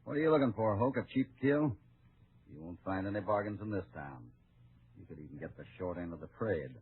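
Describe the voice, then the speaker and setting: An actor playing a calm, confident cowboy hero with a dry, easygoing manner in an old-time radio western. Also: male voice, sits low, brisk, husky, phone-quality sound, heavily acted. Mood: calm, wry. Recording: noisy, thin old radio recording.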